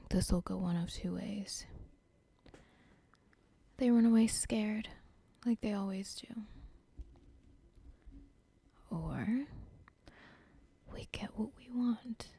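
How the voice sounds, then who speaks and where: A woman's voice: medium pitch, vocal fry, warm tone, breathy edge, full character voice. A woman whispering an ASMR roleplay as a cold, controlling female cartel boss who talks in a menacing, dismissive way. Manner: whispering throughout.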